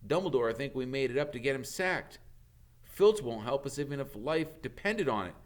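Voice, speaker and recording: A man's voice: mid-range voice, expressive, moderate pace, pitched above the performer's own voice, lightly acted. A man voicing a troubled, downcast schoolboy, gloomy but insistent. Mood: worried insistent. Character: troubled, downcast schoolboy arguing his point.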